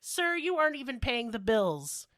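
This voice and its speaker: A woman's voice: fairly high pitch, sing-song, normal speed, fairly bright tone, no room sound, studio quality. A woman podcast host casually telling comedic stories with sweary, sardonic rants while a bit under the weather. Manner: profane, sardonic ranting. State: sick with a cold-like illness.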